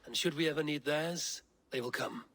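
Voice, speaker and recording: A man voicing a proud, gruff Viking warrior in a video game, speaking in measured, plain-spoken phrases. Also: a little high, fairly bright tone, dry recording. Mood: resolute. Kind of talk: video game dramatic acting.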